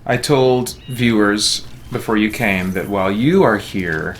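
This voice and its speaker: A man's voice: fairly low pitch, measured pace. A middle-aged man casually thinking aloud in rambling chat as he live-streams a game.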